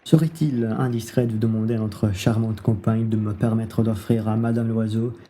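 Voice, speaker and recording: A man's voice: lowish voice, steady tone, normal speed, warm tone, lightly acted. A man playing a hungry, blunt, wheedling bourgeois husband in a French radio play, speaking in an exclamatory, familiar way. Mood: eager flattery.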